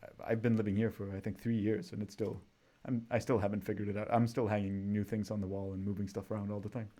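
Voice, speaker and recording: A man from Sweden hosts a casual interview. His voice sits on the low side, and he talks in a relaxed, rambling way, often restarting his sentences. He is speaking over a remote call. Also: quick pace. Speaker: podcast host. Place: home.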